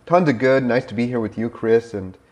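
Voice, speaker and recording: A man co-hosting a podcast, talking casually with lots of fillers and quick laughs over a remote call. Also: medium pitch, darkish tone. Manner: fast, filler-heavy, laughing easily. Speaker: co-host. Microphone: remote call mic.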